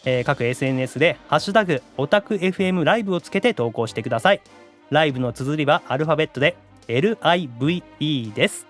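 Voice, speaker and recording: A man with a Kansai Japanese accent hosting a podcast, bantering playfully with animated comic retorts between segment announcements. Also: on the high side, racing, faint music behind. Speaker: podcast host, voice actor.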